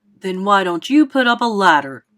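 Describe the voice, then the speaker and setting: A woman voicing a gruff, suspicious male chief of police in a storybook, with an ominous manner. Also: medium pitch, sing-song, normal speed, fairly bright tone.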